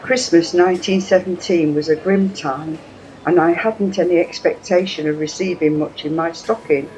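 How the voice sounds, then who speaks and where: A woman's voice: fairly low pitch, steady tone, normal speed, a little mellow, a little hiss or hum, close to an everyday voice, rough recording. A woman playing a memoirist fondly recalling her wartime childhood in a first-person reading. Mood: wistful recollection.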